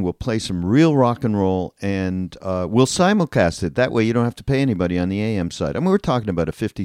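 An older man with a low-pitched voice hosts a podcast in a loose, informal style. He rambles through his recollections conversationally. The sound is close and dry, with little room in it. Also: darkish tone, no room sound. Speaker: podcast host. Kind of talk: casual chat, reminiscing.

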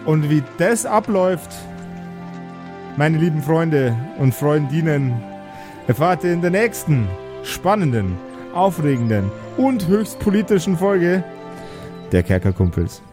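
A man's voice: a little high, big pitch swings, unhurried, over music. A man narrating an improvised tabletop audio drama as its game master.

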